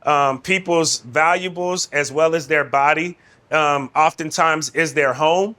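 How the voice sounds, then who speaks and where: Guy doing training video instructions, kinda high voice, really bright and forceful. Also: measured pace. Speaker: de-escalation trainer.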